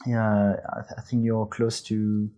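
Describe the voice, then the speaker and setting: Mellow-voiced man hosting a podcast. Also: lowish voice. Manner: relaxed, halting, restarts phrases. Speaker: podcast host. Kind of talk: podcast interview.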